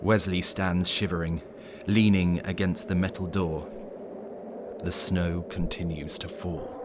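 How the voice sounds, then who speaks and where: A man narrating a scripted horror story in an ominous, measured storytelling manner. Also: low voice, level tone, heavy background noise.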